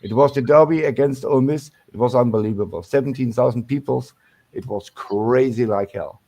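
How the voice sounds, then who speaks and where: German-accented older man calling in, gravelly. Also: medium pitch, warm tone. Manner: halting, many restarts and fillers. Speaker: caller. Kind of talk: casual call-in chat.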